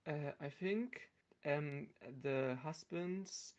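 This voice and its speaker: A man chatting as a podcast guest in a hesitant, halting way. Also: on the high side, highs cut off. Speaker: podcast guest, stage actor. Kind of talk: casual chat.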